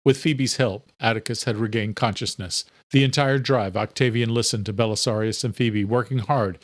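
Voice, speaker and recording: A man's voice: lowish voice, steady tone, moderate pace, dry recording, clean studio sound. A man reading scripted narration for a thriller audiobook.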